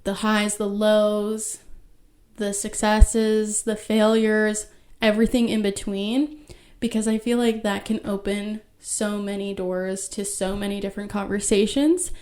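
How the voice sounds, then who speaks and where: A woman in her thirties hosting her own podcast, talking alone in a soft, reflective way. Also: medium pitch, level tone. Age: 30s. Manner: slow, soft-spoken and reflective. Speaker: podcast host. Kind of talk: solo podcast talk.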